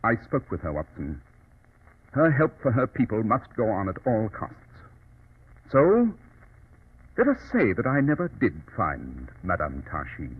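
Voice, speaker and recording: An actor playing a brilliant, self-assured detective, formal and sardonic in 1940s radio drama style. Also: male voice, fairly low pitch, wildly swooping pitch, unhurried, long pauses, phone-quality sound, full character voice. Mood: reflective, secretive. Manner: crisply formal, sardonic, theatrical. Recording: thin, scratchy old radio recording.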